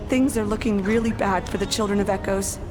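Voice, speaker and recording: Female game character, voiced by a woman, medium pitch, earnest, concerned, loyal companion, clear music underneath. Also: close to an everyday voice. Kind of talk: video game voice acting.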